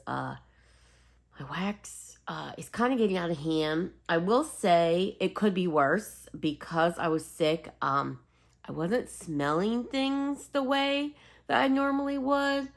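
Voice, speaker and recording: A woman vlogger chatting casually and rambling apologetically, slightly raspy and sniffly as she gets over a cold. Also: on the low side. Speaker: host of a home fragrance video channel. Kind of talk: casual rambling vlog chat.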